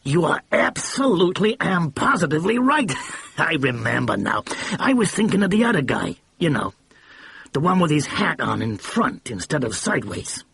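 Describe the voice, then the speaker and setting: A man's voice: a little high, moderate pace, gravelly, breathy edge, no room sound, pitched above the performer's own voice, heavily acted. Man doing a blustering film producer. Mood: excitable. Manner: blustering, excitable, slangy. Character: short fat brash cigar-smoking film producer.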